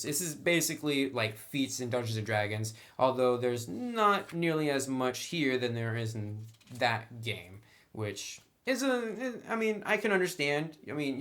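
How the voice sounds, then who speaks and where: A man with a medium-pitched voice talks through a tabletop game in a casual review style. He explains things conversationally and gives his own opinions as a reviewer. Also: a bit bright.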